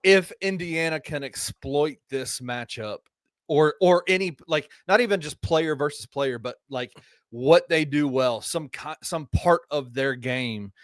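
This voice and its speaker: Guy co-hosting sports talk, kinda high voice, lots of um and like, dry sound. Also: measured pace, no room sound. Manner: conversational, thinks aloud with fillers. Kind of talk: casual sports chat.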